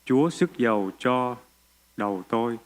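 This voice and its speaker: A man's voice: mid-range voice, expressive, normal speed. Man reading scripture aloud. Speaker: scripture reader. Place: church sanctuary.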